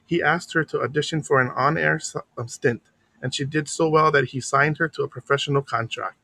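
A man from Hawaii, reading a biography aloud on the radio and pausing now and then to explain local words in a casual aside. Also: a little high, fairly even intonation, creaky, sharp tone, dry recording.

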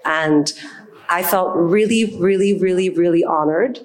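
A woman's voice: fairly low pitch, measured pace. A woman curator moderating a live panel on an event stage, speaking in an effusive, enthusiastic way.

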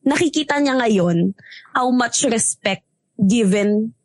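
A woman with a Filipino accent and a loud, strong voice, chatting casually and mixing Tagalog with English, with many fillers. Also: on the high side, lively intonation, slow pace, no room sound. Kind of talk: casual chat.

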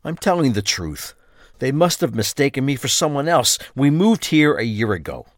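An actor playing an ordinary husband caught up in a crime audio drama. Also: male voice, mid-range voice, big pitch swings, normal speed, no room sound, studio quality, natural voice. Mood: distressed, defensive. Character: distressed, defensive husband of a kidnapped wife.

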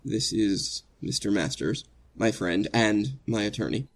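A man playing an earnest, anxious condemned prisoner in a 1940s radio drama, speaking a little haltingly. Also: fairly low pitch, lively intonation, vocal fry, heavily acted. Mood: subdued, courteous.

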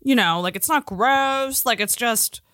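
A woman's voice: fairly high pitch, a little slow, fairly bright tone, no echo, clean studio sound. A woman co-hosting a podcast, chatting casually in an informal, sweary way. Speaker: podcast co-host. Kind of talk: casual chat.

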